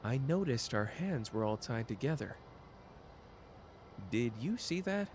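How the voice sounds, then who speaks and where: A man's voice: medium pitch, very animated, a little slow, darkish tone, a little hiss or hum. A man voicing a smug, playful young schemer who teases and drawls in an audio drama. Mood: teasing. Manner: teasing, sly, drawling. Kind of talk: ASMR roleplay audio drama.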